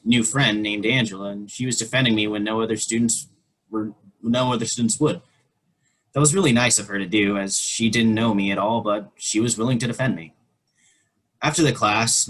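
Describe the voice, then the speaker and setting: Low-voiced reader for an emotional teen role in a video call play reading, sincere and subdued. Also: male voice, low-quality recording. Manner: earnest, quietly emotional.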